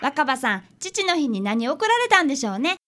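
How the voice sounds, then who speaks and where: Woman voicing an earnest high-pitched little boy detective. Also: big pitch swings, fairly quick, a bit bright. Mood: earnest, curious. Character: polite, earnest fifth-grade boy, apprentice detective and narrator. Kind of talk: radio drama narration and dialogue.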